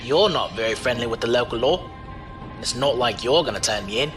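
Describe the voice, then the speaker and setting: A roguish driver-for-hire, acted by a man, breezy and unflappable. His pitch swings freely as he teases in folksy slang. Music plays behind him in this audio drama. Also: lively intonation, fairly quick, close to an everyday voice. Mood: breezy, wry. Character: cocky roguish male driver-mercenary, wily and unflappable.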